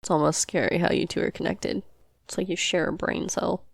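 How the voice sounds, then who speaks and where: A woman's voice: lowish voice, fairly even intonation, a little fast, vocal fry, slightly rough, no room sound, studio quality. A woman playing an easygoing, devoted girlfriend in an audio roleplay, casual and reassuring. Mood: amused, casual. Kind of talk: amateur audio roleplay acting.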